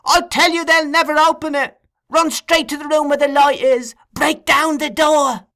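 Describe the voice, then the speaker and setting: A man's voice: very high voice, flat delivery, normal speed, pushed and bright, pitched above the performer's own voice, heavily acted. A man reading aloud, voicing a terrified boy who screams for help in a frantic rage. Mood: screaming.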